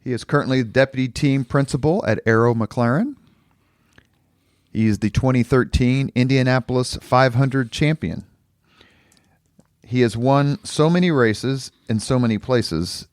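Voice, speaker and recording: A man's voice: medium pitch, unhurried, a little mellow, no echo, clean studio sound. A man hosting an interview podcast, introducing his guest and asking questions. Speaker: podcast host.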